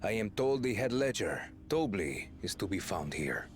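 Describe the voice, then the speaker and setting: An actor playing a cold, imposing warrior prince in a fantasy game, curt and commanding. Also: male voice, on the low side, very animated, moderate pace, faint music behind. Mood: cold, menacing.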